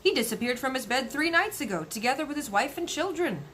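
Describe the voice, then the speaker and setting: A formal sultan from a children's storybook, voiced by a woman reader. The speech is plain and courtly, pitched rather high with lively movement. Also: fast talker, slight background noise. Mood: grave. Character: formal adult male sultan on the telephone.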